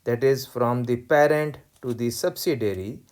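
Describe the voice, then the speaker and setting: A man lecturing on accounting, explaining worked exercises step by step in a deliberate way and repeating key points. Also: medium pitch, darkish tone. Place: voice-over recorded over tablet screen.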